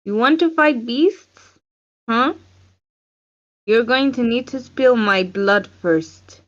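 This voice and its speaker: A woman's voice: on the high side, slow pace. A woman playing a stern, goading female combat trainer with a curt, commanding manner in a screenplay table read. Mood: challenging. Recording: dull lo-fi online meeting audio.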